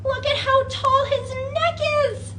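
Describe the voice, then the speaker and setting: A woman leading an interactive children's storytime as a librarian, talking in an animated way with exaggerated wonder and coaxing questions. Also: extremely high pitch, slow pace, background noise.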